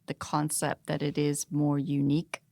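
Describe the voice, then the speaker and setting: A woman's voice: deep voice, monotone, moderate pace, gravelly, mellow, dry recording. A woman talking casually as a panelist in a podcast discussion.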